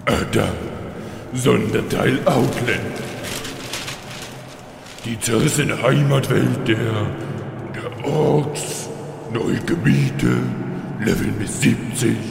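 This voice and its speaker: A very gravelly, slow-talking undead tyrant in a fantasy parody radio play, heard in a big echoing hall. He is smug and needles with mock friendliness and feigned innocence. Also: medium pitch. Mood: musing, intrigued. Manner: drawling, taunting, feigned innocence. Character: smug undead Lich King, teasing, mock-friendly villain. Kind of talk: parody fantasy audio drama acting. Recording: very echoey reverberant hall, faint noise.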